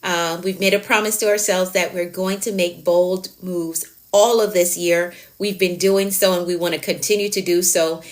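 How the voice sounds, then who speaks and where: A woman's voice: lowish voice, fairly even intonation, normal speed, few pauses. A woman coach talking on a live stream, encouraging and emphatic, repeating her key phrases and answering viewers' comments. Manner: encouraging, motivational. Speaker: productivity coach.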